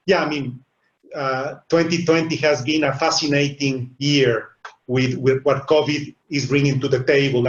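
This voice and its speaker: A middle-aged man with a Mexican accent speaking as a business panelist on a video call, earnest and often repeating small words as he builds his points. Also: a little high. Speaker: webinar panelist, business executive. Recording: echoey room over a dull, lo-fi line.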